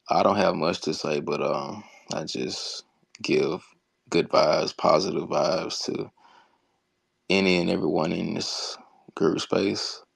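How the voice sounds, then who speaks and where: A man with a deep, sleepy-sounding voice speaking slowly and softly on a live chat. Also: vocal fry, no room sound. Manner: slow and soft-spoken. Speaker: caller. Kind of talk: casual chat.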